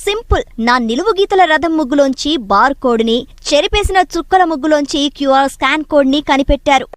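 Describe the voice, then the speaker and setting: A woman's voice: high voice, lively intonation, fast talker, no echo. A woman voicing a proud, self-important female rangoli drawing that talks and explains in a comic Telugu cartoon. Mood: proud, explaining.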